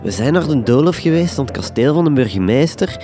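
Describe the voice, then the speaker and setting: A man's voice: fairly high pitch, expressive, fairly quick, mellow, close to an everyday voice. Man acting a surly teenage boy, music behind. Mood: dismissive. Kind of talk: radio drama acting.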